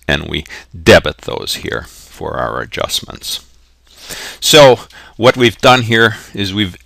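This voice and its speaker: A man's voice: low-pitched, vocal fry, fairly bright tone, no echo. A man giving a methodical, step-by-step tutorial as an accounting instructor, often repeating himself, in a close voice-over. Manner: methodical, repetitive step-by-step explaining. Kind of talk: explanatory tutorial narration. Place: close, dry voice-over for a screen recording.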